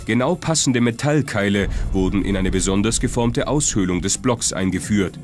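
A man's voice: fairly low pitch, normal speed. A man reading scripted documentary narration. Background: music.

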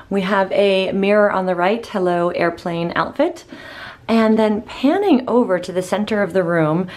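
A woman's voice: lowish voice, darkish tone. Chatty woman touring a hotel room. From Boston, USA. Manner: chatty, upbeat, thinking aloud with fillers. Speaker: travel vlogger. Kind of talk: casual hotel room tour. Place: small hotel room indoors. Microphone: handheld camera at arm's length.

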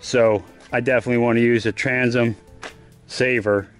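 A man giving a casual step-by-step how-to while working on a boat trailer on his driveway, often turning away from a low camera. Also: flat delivery, normal speed, soft background music. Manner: plain, practical, step-by-step explaining while working. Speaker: DIY vlogger. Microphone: camera mounted low on the ground, voice often off-mic.

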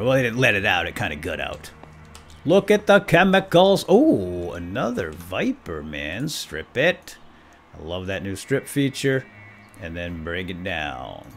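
Man, lowish voice, lively intonation, playful casual gaming commentary, music behind. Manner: animated, playful exclamations and asides. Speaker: let's play host.

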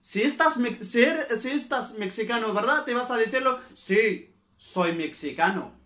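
Moroccan guy teaching grammar, arabic native accent, sharp bright voice, repeats himself a lot, echoey room. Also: extremely high pitch, animated, measured pace. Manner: repetitive, didactic, often checks understanding with short tags. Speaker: Spanish language teacher. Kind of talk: scripted-free grammar lesson with examples.

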